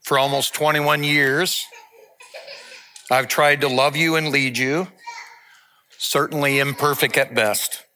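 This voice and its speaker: Earnest bright-voiced pastor in his sixties. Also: medium pitch, steady tone, a little hiss or hum. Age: 60s. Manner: earnest, measured, heartfelt. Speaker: lead pastor. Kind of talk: sermon and farewell announcement.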